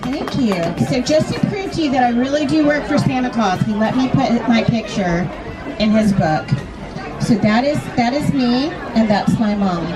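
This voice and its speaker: A woman reading a storybook aloud to children in an expressive way, often putting questions to them. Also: on the high side, moderate pace. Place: live reading inside a large toy shop. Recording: busy echoey store, crowd and loud music.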